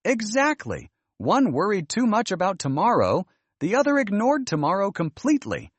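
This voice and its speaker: Kindly wise storybook grandma, played by a man, teaching gently with very lively intonation and a husky edge. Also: high voice, normal speed, dry recording, voice pushed up, exaggerated voice. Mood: proud, teaching.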